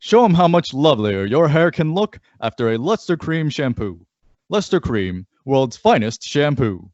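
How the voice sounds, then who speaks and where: A man's voice: fairly high pitch, sing-song, moderate pace, a little mellow, no echo. A man reading an old-time radio advertisement as an announcer, with an emphatic sales delivery. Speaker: commercial announcer. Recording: dull, lo-fi audio.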